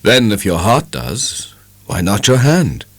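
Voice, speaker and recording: A man plays a tender young gentleman courting his beloved in an audiobook drama. The voice is low and very lively in pitch, coaxing and gently insistent, in a dry, close recording. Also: takes it slowly, dry recording, exaggerated voice. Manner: coaxing, affectionate.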